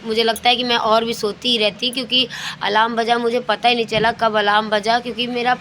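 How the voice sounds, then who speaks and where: High-pitched chatty woman vlogger, noisy background. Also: flat delivery, fairly quick, fairly bright tone. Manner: chatty, repeats phrases, searches for words. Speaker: lifestyle vlogger, homemaker and mother. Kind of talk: casual chat about daily routine. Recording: clear background ambient and vehicle noise.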